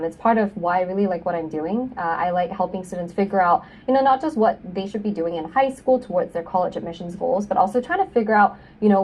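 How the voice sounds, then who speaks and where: Woman from Singapore, young adult, consultant presenting online, low voice, a bit far from the mic. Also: dark tone. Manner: friendly, many fillers like um and you know. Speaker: admissions consultant. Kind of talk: webinar presentation.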